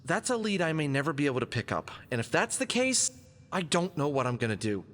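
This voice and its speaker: A man's voice: a little high, big pitch swings, quick pace, fairly bright tone. A man playing a confident, wisecracking fox adventurer in an audio drama, quippy and self-assured in his delivery. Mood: frustrated, doubtful. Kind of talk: scripted audio drama dialogue. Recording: long hall-like reverb.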